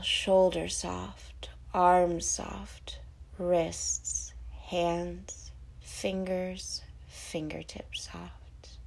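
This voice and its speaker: A woman hosting a guided sleep meditation, giving soothing instructions with breathy phrases that often trail off toward a whisper. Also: lowish voice, a little mellow.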